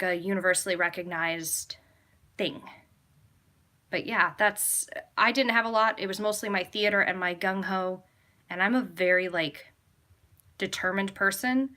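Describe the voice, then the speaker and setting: Young American female vlogger, bright crisp-edged voice, mid pitch, enthusiastic, rambling, informal live Q&A delivery. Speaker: travel vlogger and travel agent. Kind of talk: casual live Q&A chat to viewers.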